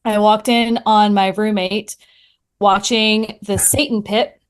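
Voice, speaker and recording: Female podcast guest, mid-pitched, wry and playful, relaxed conversational delivery. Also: slow pace, clear, resonant tone. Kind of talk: casual chat.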